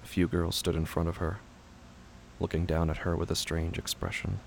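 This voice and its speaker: Guy narrating horror story, super gravelly and deep, somber, measured, faint ambient noise behind. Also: little pitch movement. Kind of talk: scripted horror fiction narration.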